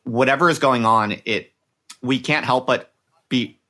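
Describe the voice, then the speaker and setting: A man's voice: medium pitch, unhurried, bright, forceful tone. A man hosting a casual intellectual interview, speaking tentatively and restarting phrases as he thinks. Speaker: podcast host, interviewer.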